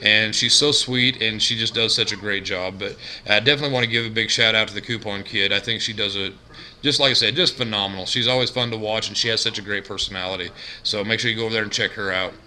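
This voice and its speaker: A man hosting a casual couponing vlog, talking in a droning, monotone way with lots of fillers and restarts. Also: fast, slight background noise. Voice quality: bassy. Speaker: couponing YouTuber. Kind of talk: casual vlog shout-outs.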